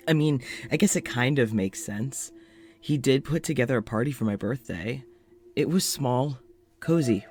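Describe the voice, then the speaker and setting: An actor playing an anxious, self-doubting male doctor in an audio drama, rambling haltingly and self-deprecatingly through a confessional monologue. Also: medium pitch, darkish tone.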